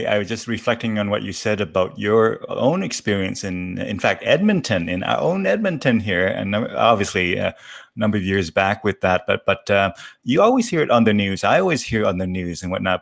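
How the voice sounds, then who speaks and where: A man's voice: on the low side, gravelly. A man hosting a casual, reflective interview, speaking in a hesitant, rambling way. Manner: hesitant, rambling, reflective, with frequent murmured acknowledgments. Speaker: interview host.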